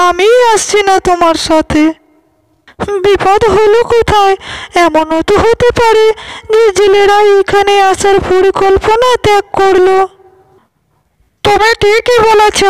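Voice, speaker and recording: A woman voicing a small talking pond fish in a children's cartoon, with a slow, deliberate delivery. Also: very high-pitched, low-quality recording.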